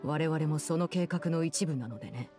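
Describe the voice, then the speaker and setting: Aloof female guardian-diplomat played by an actress, formal, measured, commanding; Japanese game dub, faint music. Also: deep voice, mellow, natural voice. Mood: cool, dismissive. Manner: composed, measured, formal and authoritative. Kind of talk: Japanese anime-game voice acting.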